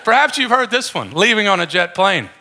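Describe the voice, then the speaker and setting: A man's voice: sits high, big pitch swings, brisk, creaky, bright, forceful tone. A man preaching a conversational sermon, informal and joking with his congregation.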